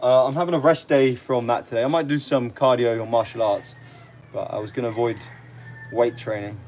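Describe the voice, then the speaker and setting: A man's voice: medium pitch, steady tone, a little fast, darkish tone. A young man with an English accent from around London, chatting casually and easygoing on a livestream as he answers comments. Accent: English, London area. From near London, England. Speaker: livestream host. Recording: clearly noisy live stream with ambient sound.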